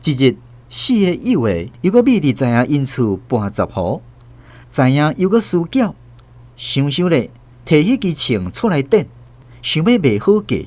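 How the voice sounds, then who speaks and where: Expressive Taiwanese man narrating a tale. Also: fairly high pitch, a little slow. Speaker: radio drama narrator. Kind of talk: dramatized storybook narration.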